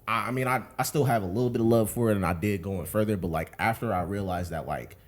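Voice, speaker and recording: Laid-back low-voiced man in his twenties. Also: fast talker, slight background noise. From Buffalo, New York, USA. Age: 20s. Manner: laid-back, rambling, laughs often. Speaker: podcast guest. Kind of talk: casual chat.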